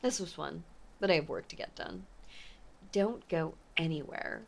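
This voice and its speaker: A woman playing an obsessive, possessive mafia heiress ex-lover in an intimate audio drama, speaking with menacing sweetness and often slipping toward a breathy whisper. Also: low-pitched, lively intonation, measured pace, exaggerated voice. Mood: falsely tender. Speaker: voice actor. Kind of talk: intimate dramatic roleplay monologue.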